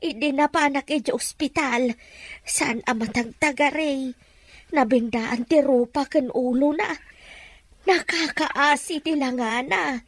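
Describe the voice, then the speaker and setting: A woman's voice: high-pitched, takes it slowly, no room sound. A woman playing a worried, consoling mother in a domestic melodrama. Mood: anxious.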